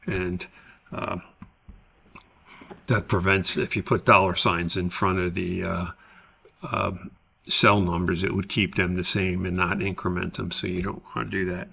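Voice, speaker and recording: Slow, gravelly-voiced man teaching. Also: low voice, little pitch movement, pushed and bright. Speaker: instructor. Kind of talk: screen-recorded spreadsheet tutorial, unscripted explanation.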